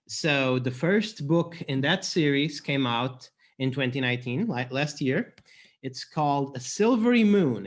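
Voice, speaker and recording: A man from Portugal, an author introducing and reading his own fantasy fiction aloud. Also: medium pitch.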